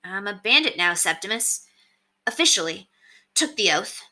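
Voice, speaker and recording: A woman's voice: mid-range voice, big pitch swings, normal speed, sharp tone, poor audio. A woman voicing an earnest, hesitant young boy in a storybook, sounding unsure and sheepish.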